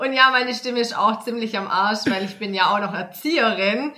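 A woman's voice: a little high, brisk, pushed and bright. A woman in her late twenties with a Swabian accent, a guest chatting on a podcast, bubbly and enthusiastic, her voice stuffy from a cold. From near Nürtingen, Swabia. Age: 20s. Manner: bubbly, enthusiastic, laughs a lot. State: stuffy nose, worn voice. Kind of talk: casual chat.

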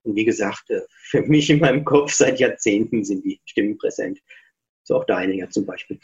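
A man's voice: lowish voice, moderate pace, darkish tone, lo-fi sound. A middle-aged man talking as an author guest in a casual interview, chatty, reflective and self-deprecating.

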